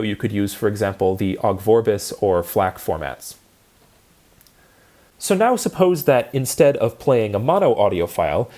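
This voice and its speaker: A man's voice: mid-range voice, a little mellow. Man giving a methodical technical lecture. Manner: methodical, step-by-step explaining with rhetorical questions. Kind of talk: instructional programming lecture, explanatory.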